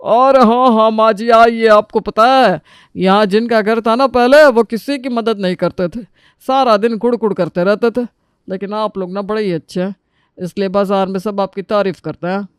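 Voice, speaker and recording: Casting: male actor as chatty cartoon street vendor, notably high and clear, polite and thankful. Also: fairly even intonation, moderate pace, no echo, clean studio sound, natural voice. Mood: grateful, friendly. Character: friendly, grateful male street-food vendor. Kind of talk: Hindi cartoon story character voice.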